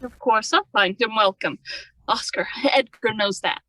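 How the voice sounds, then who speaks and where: Woman playing a high-voiced, strong-willed young wife. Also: measured pace, pushed and bright, poor audio. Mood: polite. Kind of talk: old-time radio drama acting.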